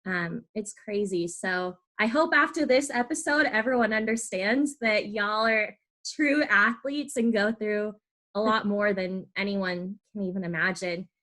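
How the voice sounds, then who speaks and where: A woman with a lilt hosts a podcast in a bright, clear voice. She asks questions in a chatty, enthusiastic way, often with an "um" before them. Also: medium pitch, unhurried. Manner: chatty, enthusiastic, uses "um" and "y'all". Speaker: podcast host. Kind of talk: casual interview questions.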